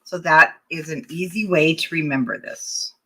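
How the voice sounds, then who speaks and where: Older female craft host, slightly hoarse, bright and low-set, talks casually to viewers while working. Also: moderate pace, rough recording. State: losing her voice. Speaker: craft teacher. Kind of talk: live-streamed craft tutorial.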